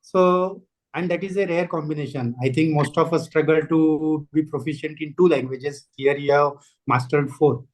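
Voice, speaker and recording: A man with an Indian English accent hosting an interview, polite and hesitant, often pausing on fillers. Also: a little high, fairly even intonation, poor audio. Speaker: interviewer host. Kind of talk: interview conversation.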